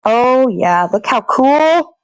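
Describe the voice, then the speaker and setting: A woman's voice: high-pitched, lively intonation, slow pace, slight background noise, poor audio. A woman chatting casually while she cooks, rambling to herself and swearing freely as a show host. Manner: rambling, self-talking, frequent swearing. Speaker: host of a daily puppet show. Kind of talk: casual chat while cooking.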